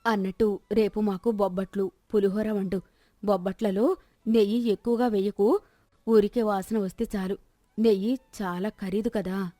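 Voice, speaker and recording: Nagging, penny-pinching lady guest acted by a woman for a storybook tale, mellow delivery. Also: medium pitch, fairly even intonation, normal speed, dry recording, clean studio sound, close to an everyday voice. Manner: nagging, advising. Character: miserly, bossy female houseguest and relative.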